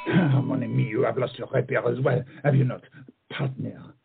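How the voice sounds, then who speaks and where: A man's voice: medium pitch, moderate pace, vocal fry, faint music behind. An actor playing a pompous, witty swordsman in a comic stage play, theatrical and mocking. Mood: swaggering. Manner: theatrical, mocking, peppers speech with French phrases. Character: pompous, flamboyant big-nosed French swordsman, witty and proud. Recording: muffled, tinny stage play recording.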